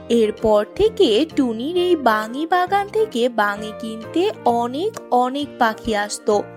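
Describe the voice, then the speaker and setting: A woman tells a children's story in a high voice with lively pitch movement. Her pace is quick, and soft music plays behind her. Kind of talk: scripted children's story narration.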